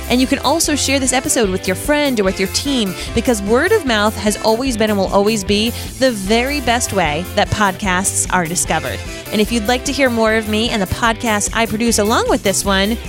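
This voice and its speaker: A woman with a Rhode Island accent hosting a podcast from her basement, chatty, bubbly and self-deprecating. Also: on the high side. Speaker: podcast host. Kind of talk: casual podcast chat. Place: home basement. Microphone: studio microphone.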